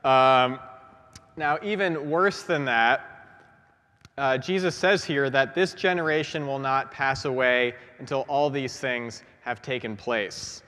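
A man in his thirties preaches in a large church, his voice a little congested from a lingering cold. He talks in a relaxed, conversational way, full of ums and restarts, into a pulpit microphone. The sound trails off into a long reverb from the stone walls. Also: mid-range voice, slow. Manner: conversational, many fillers and restarts. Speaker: priest. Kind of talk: sermon. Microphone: gooseneck pulpit mic.

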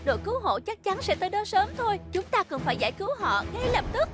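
A woman voicing a caring, decisive female rescue-team vehicle in a children's cartoon, animated and reassuring. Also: very high voice, quick pace. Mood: concerned, decisive. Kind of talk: dubbed children's cartoon acting. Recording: loud music behind the voice. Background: loud cartoon music.

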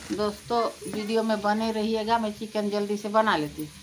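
Older woman over loud sizzling. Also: medium pitch, fairly even intonation, normal speed, very noisy. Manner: brief, matter-of-fact remarks while cooking.

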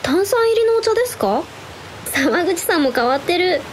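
An actress playing a sweet, polite and curious young bride-to-be in a radio drama. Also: female voice, very high voice, sing-song, normal speed, slight background noise.

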